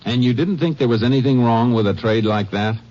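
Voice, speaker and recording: An actor playing a steady, hard-pressing male Texas Ranger who narrates and questions a suspect in a 1950s radio drama. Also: mid-range voice, brisk, close to an everyday voice. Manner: clipped, firm, interrogating. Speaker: lead detective and narrator. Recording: muffled old radio broadcast.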